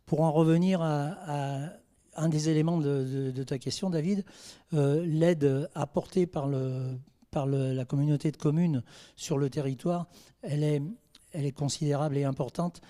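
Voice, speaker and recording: A man speaking off the cuff as a mayor on a panel, haltingly, often repeating words as he searches for the next. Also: a little high, fairly even intonation, mellow. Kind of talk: spontaneous panel answer.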